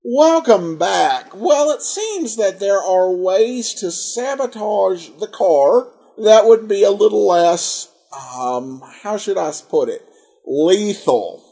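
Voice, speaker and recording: A man's voice: sits high, expressive, slow pace, vocal fry, dark tone, slightly rough. A man hosting a podcast, giving conversational, dryly critical commentary with hesitant phrasing. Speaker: podcast host.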